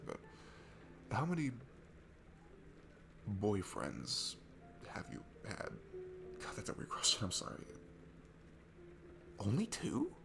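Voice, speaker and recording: Shy, insecure guy at a bar, played by a man: gravelly, animated, halting and awkward, intimate ASMR roleplay with music behind him. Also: lowish voice, full character voice. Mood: curious, awkward. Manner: nervous, halting, rambling, apologetic with awkward laughter.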